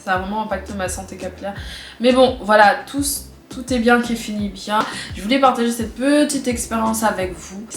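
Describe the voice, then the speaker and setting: A woman's voice: medium pitch, normal speed, soft background music. A young woman with a cold, talking casually to camera in a chatty way and repeating phrases for emphasis. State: has a cold, stuffy. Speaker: vlogger. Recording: echoey room recording.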